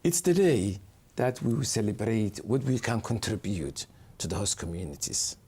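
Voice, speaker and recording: Husky, animated man from Somalia. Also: lowish voice, normal speed. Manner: earnest, searching for words. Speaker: guest from a refugee community. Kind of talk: interview.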